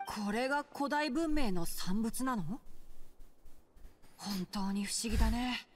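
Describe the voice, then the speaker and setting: A woman voicing a gentle, earnest, boyish young forest ranger in an anime game, soft and thoughtful. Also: a little high, lively intonation, normal speed, a little hiss or hum, close to an everyday voice. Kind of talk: Japanese anime game acting.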